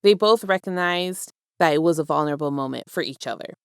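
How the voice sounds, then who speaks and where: A woman's voice: sits low, brisk, clear, resonant tone, dry recording, studio quality. A woman reading fan fiction aloud as a storyteller and speaking to her listeners. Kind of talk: scripted story narration, casual outro.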